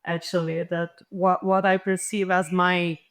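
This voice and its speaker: A woman's voice: fairly low pitch, little pitch movement, measured pace, clean tone. Hesitant woman panelist, native Serbo-Croatian speaker. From former Yugoslavia. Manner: hesitant, thinking aloud with many fillers. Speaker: panelist and literature researcher. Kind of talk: reflective panel discussion answer.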